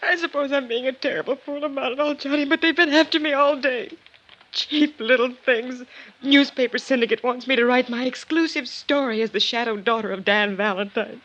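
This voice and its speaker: An actress playing an earnest, wounded young woman in a 1950s radio drama, speaking softly and hesitantly with feeling. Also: high-pitched, brisk. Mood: distraught. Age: young adult. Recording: dull 1950s radio recording.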